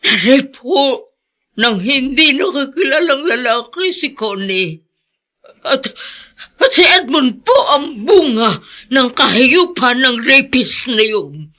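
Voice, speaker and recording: An actress playing an earnest older nursemaid with a Filipino accent, revealing a painful secret in a radio drama. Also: female voice, high voice, animated, takes it slowly, sharp tone, full character voice. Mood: urgent, emotional.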